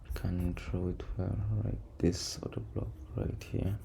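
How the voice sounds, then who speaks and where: Low, creaky-voiced man thinking aloud. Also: flat delivery, unhurried, dark tone. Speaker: trader recording a backtesting session. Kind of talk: casual screen-share commentary, thinking aloud. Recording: noisy room, keyboard and typing sounds.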